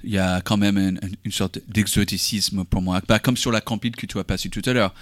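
A man's voice: fairly low pitch, fairly even intonation, brisk, fairly bright tone, no echo. A man who is not a native French speaker, co-hosting a radio music show in a casual, hesitant way with many fillers. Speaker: radio co-host.

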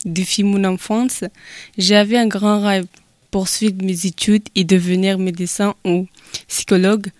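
A woman's voice: medium pitch, level tone, unhurried, darkish tone, no room sound. Young woman, dry close sound. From Afghanistan. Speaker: speaker giving a personal testimony. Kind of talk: scripted testimony read aloud.

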